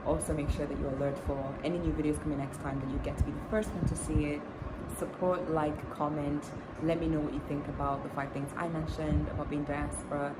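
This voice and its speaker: A young woman from Tanzania chatting casually in a storytime vlog, with lots of fillers and restarts. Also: sits low. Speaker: vlogger. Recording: loud background noise.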